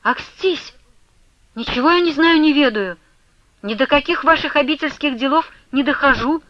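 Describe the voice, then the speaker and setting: Audiobook role: woman narrator as meek, frightened young maiden, anxious and bewildered, bright tone. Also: high-pitched, normal speed, dry recording, highs cut off, voice pushed up.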